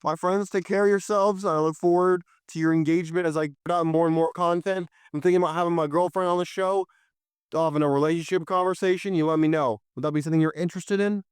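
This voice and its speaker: A young man hosting a solo political podcast, impassioned and preachy, his voice a bit hoarse, speaking straight to his listeners. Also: on the high side, fairly even intonation, quick pace, no room sound. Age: young adult. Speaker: podcast host.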